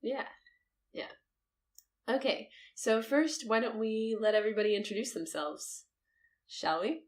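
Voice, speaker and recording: A woman's voice: a little high, expressive, a little slow. A woman co-hosting a podcast, chatting casually in an upbeat way and laughing often. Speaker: podcast co-host. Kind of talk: casual chat.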